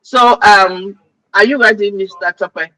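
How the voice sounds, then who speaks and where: A woman's voice: mid-range voice, unhurried, pushed and bright, no room sound, poor audio. A woman informally hosting a webinar over a video call. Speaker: webinar host. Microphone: online video call microphone.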